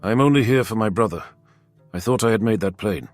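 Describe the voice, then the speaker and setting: A man's voice: fairly low pitch, a little fast, no room sound, close to an everyday voice. Guy doing a blunt proud warrior lord in a fantasy story, formal and curt, faint music behind. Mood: dismissive. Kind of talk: fantasy audiobook character dialogue.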